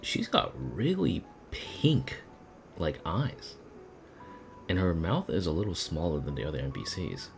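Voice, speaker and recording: A woman streaming a game, giving casual, musing live commentary with halting reactions. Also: extremely low pitch, big pitch swings, vocal fry, darkish tone, faint music behind.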